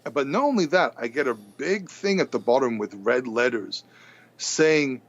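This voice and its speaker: A man's voice: mid-range voice, a little slow. Man telling a story, lo-fi. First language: Hebrew. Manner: animated storyteller, stumbles and repeats words. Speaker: podcast guest. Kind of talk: casual storytelling conversation.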